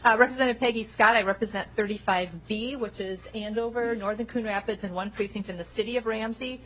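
Loud-voiced woman legislator. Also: mid-range voice, fast talker, a little hiss or hum, rough recording. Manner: loud, projecting voice. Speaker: state legislator. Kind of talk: committee introduction speech. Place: legislative committee room.

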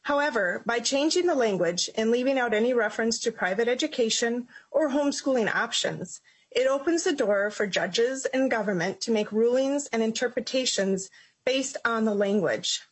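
Woman, husky and fairly high, formal prepared statement. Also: expressive, rough recording. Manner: formal and measured. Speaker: citizen giving testimony. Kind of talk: reading prepared testimony.

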